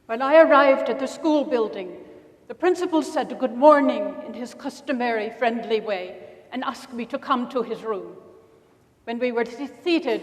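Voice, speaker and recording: A woman acting as an earnest narrator in a staged documentary reading, with deliberate phrasing. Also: on the high side, lightly acted. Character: earnest female narrator of historic notices and testimony. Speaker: stage reader in a dramatic reading. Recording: echoey hall with long reverb.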